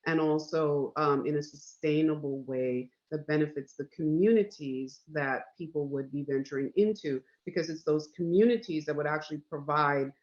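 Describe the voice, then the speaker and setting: A man from Guyana with an American accent, speaking earnestly on a video call panel and filling his pauses. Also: fairly high pitch. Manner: earnest, measured, with many fillers. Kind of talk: panel discussion. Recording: muffled video call audio.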